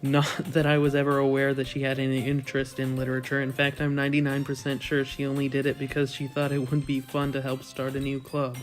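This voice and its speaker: A man's voice: medium pitch, monotone, quick pace, hardly any pauses, faint music behind. A young man reading a visual novel aloud for a gaming video, with crude, irreverent asides. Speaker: let's play narrator.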